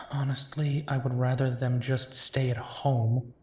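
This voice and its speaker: A man playing a protective, worried but caring werewolf detective in an intimate audio roleplay, speaking in a reassuring way with pauses. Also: medium pitch, little pitch movement, darkish tone, husky. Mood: resigned, fond.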